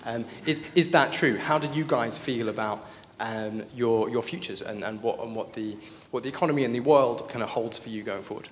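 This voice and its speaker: A man's voice: mid-range voice, brisk. A man in the audience asking a panel a question, hesitant with many ums and restarts. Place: conference room. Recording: hall reverb with faint noise.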